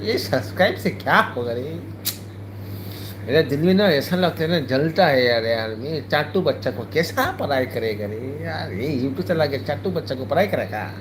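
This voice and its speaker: A man's voice: fairly high pitch, expressive, normal speed, background noise. A man vlogger whose first language is Manipuri, speaking colloquial Hindi with a Manipuri accent. He talks casually in a playful, teasing way. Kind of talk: casual vlog chat.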